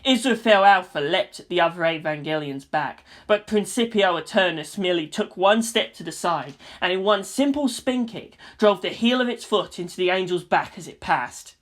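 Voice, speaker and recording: A woman's voice: on the low side, lively intonation, normal speed, hardly any pauses. A woman reading scripted story narration in a dramatic style.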